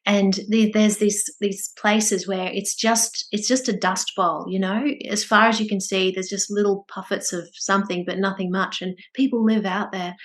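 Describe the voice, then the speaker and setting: A middle-aged Australian woman, a clinical psychologist, talking as an interview guest with energetic, bubbly warmth. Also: mid-range voice. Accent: mild Australian. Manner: energetic, bubbly, quick to laugh. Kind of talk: casual interview chat.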